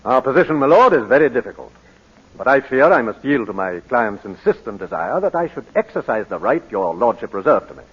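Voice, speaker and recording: Courteous British counsel, measured and husky, lo-fi vintage radio drama. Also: male voice, mid-range voice, sing-song. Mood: formal, deferential. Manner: measured, deferential, formal. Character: courteous, formal British barrister, patient defender of client. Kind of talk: 1940s radio drama acting. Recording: dull, lo-fi 1940s radio recording.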